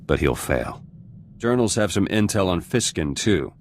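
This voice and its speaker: Embittered resistance fighter, deep voice, terse clipped phrasing, hard and vengeful, video game drama, background ambience noise. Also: male voice, big pitch swings, measured pace. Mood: terse, guarded. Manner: terse, clipped fragments with dropped words.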